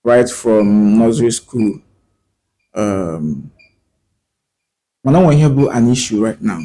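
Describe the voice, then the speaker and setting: A man's voice: fairly low pitch, warm tone. A man with a Nigerian Igbo accent, teaching as a language host, speaking earnestly, code-switching between English and Igbo and checking in with rhetorical questions. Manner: rhetorical questions and pauses with fillers. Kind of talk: talking to camera about language.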